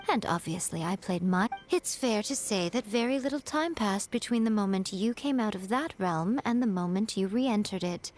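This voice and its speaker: A woman's voice: medium pitch, darkish tone, close to an everyday voice. A woman playing a wise, teasing fox-spirit shrine maiden in an anime game, poised and playful in her delivery. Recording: music behind the voice.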